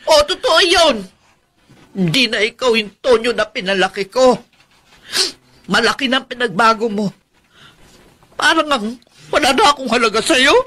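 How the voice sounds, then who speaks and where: High, bright, touchy aunt. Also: female voice, animated, normal speed, long pauses. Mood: tearful reproach. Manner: plaintive, reproachful, dramatic. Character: touchy, self-pitying older aunt who raised her nephew. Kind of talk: Filipino radio drama acting.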